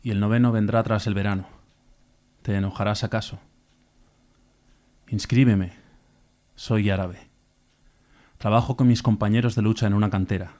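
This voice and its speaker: A man, a stage actor, recites poetry in a declamatory, emphatic manner with deliberate pauses. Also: fairly low pitch, steady tone, darkish tone.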